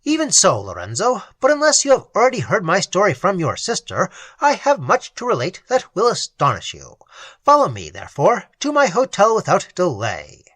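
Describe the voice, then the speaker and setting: Reader doing a proud young noble, formal and commanding, swingy pitch, breathy, dry. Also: wildly swooping pitch, normal speed, a bit bright, no echo.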